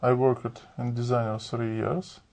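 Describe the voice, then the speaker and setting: Slow gravelly Russian man. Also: mid-range voice, little pitch movement, a little mellow. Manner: halting, broken phrasing. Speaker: exam candidate. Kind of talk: speaking exam answers.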